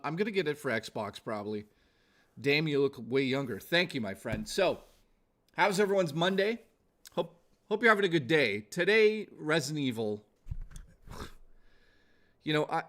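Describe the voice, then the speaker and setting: A man's voice: mid-range voice, fairly bright tone. A man in his forties chatting casually to viewers as a gaming livestreamer, informal and exclamatory, with some swearing. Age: 40s.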